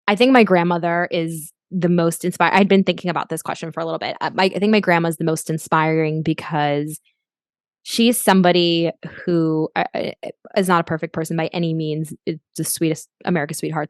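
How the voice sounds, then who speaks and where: A woman's voice: fairly low pitch, fairly even intonation, fairly quick, clean tone, dry recording, clean studio sound. A young adult woman, a life coach as a podcast guest, talking earnestly and reflectively with frequent restarts. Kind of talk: podcast interview.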